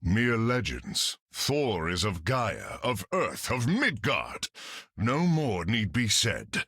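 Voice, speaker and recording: Game role: a grand, archaic alien warrior, slow and swooping. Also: male voice, fairly low pitch, sing-song, fairly bright tone, slightly rough, big-room sound, exaggerated voice. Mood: proud. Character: proud alien warrior man, archaic speech.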